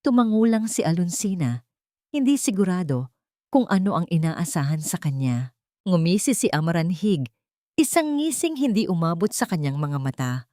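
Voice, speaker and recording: A woman's voice: fairly low pitch, very animated, normal speed, warm tone, no echo, studio quality. A woman reading a scripted story as a dramatic narrator.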